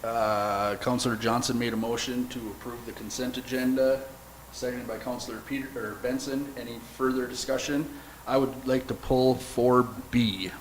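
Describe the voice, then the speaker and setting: A man serving as mayor, chairing a city council meeting in a brisk, procedural way. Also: mid-range voice, little pitch movement. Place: council chamber. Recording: noisy council chamber recording.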